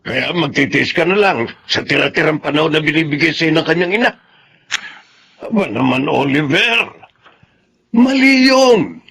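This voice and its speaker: A man's voice: on the high side, animated, moderate pace, pauses often, pushed and bright, breathy edge, exaggerated voice. A man acting in a Filipino radio drama as a stern, domineering elderly father and grandfather who admonishes insistently.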